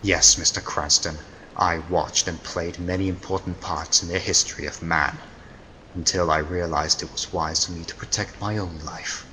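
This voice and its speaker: An actor playing a million-year-old immortal Neanderthal villain, theatrical and grandiose, in old-time radio drama style. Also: male voice, low voice, fairly even intonation, moderate pace, bright, forceful tone, a little hiss or hum, rough recording. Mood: weary, bored. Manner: theatrical, grandiose, menacing declamation.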